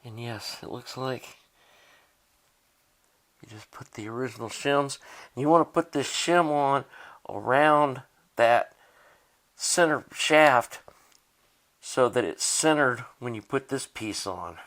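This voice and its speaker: A man's voice: medium pitch, slow, long pauses, fairly bright tone. A man giving a casual, unscripted narration of a repair, thinking aloud in a plainspoken way as he works. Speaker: hobbyist demonstrating a tape deck repair.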